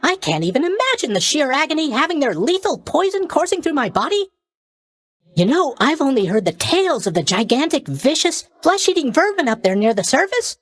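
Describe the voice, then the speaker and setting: A man's voice: extremely high pitch, sing-song, brisk, fairly bright tone, no echo, exaggerated voice. A man playing a cheery, oblivious young god of sleep in a video game, chatty and blithely tactless in his mock sympathy. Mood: blithely sympathetic. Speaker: video game character voice actor. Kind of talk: video game character voice lines.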